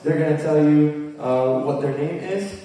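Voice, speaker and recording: Guy leading a ministry team, chatty and relaxed, mellow voice, far off mic, big echoey hall. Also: on the high side, measured pace, faint music behind. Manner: relaxed, chatty, with fillers and light jokes. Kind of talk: casual introduction from a stage.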